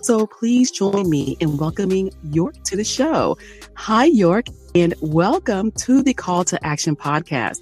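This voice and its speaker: Lively woman podcast host. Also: medium pitch, a little slow, slightly rough, faint music behind. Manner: animated, encouraging, laughs often. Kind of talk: friendly interview chat.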